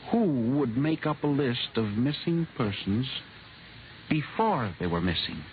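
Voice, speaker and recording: An actor playing an earnest, anxious missing-persons clerk, hesitant yet insistent, in 1950s radio drama style. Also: male voice, mid-range voice, animated, a little slow, close to an everyday voice. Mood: uneasy, hesitant. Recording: tinny, hissy old radio recording.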